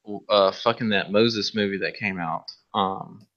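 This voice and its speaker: A man's voice: on the low side, steady tone, a little slow, fairly bright tone. A man bantering casually, laid-back and agreeable, swearing freely. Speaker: co-host of a casual stream. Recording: dull, lo-fi voice chat.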